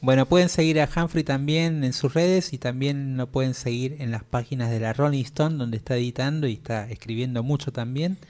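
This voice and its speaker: A male radio host with a mid-range voice, closing out a segment in a brisk, promotional way. He thanks his guest and points listeners to where they can follow him. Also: medium pitch, fairly even intonation, a little mellow. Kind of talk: radio show sign-off.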